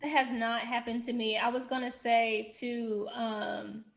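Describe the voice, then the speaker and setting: A woman's voice: fairly high pitch, flat delivery, unhurried, vocal fry. A woman in her thirties chatting casually and candidly on a podcast, in colloquial speech. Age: 30s. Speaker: podcast host. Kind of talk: casual chat.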